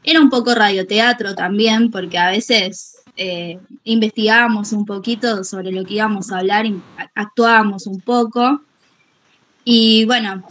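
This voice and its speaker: A woman's voice: medium pitch, a bit bright. Hesitant, polite Argentine woman. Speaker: workshop participant. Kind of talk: casual chat in a group call. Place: online group call.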